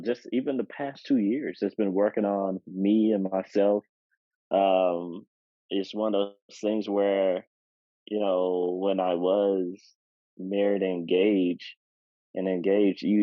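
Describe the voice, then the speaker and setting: A man with a low voice talks casually as a podcast co-host, reflective and hesitant with many fillers. The recording is dry and a little muffled. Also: dry recording. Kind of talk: casual chat. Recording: dry, slightly dull podcast audio.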